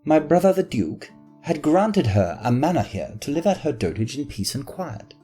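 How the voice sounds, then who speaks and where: A man's voice: fairly high pitch, sing-song, normal speed, warm tone, faint music behind. A man playing a spoiled haughty nobleman. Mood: solemn. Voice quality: haughty, self-pitying. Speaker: voice actor. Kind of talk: fan audio drama acting.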